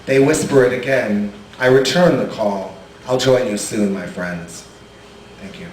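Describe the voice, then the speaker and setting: A man performing as the featured poet at an open mic, singing a chant and then reading a poem slowly. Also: on the low side, darkish tone. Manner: slow, measured reading. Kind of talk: sung chant and poetry reading. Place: cafe performance room. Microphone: distant room mic. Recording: echoey room, far from mic, noisy.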